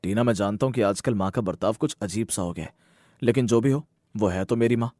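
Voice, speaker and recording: A man's voice: on the low side, fast talker, dark tone, no echo, studio quality, close to an everyday voice. A man voicing an earnest, dutiful grown son and exasperated husband, speaking emphatically in a Hindi moral story cartoon. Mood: earnest, concerned.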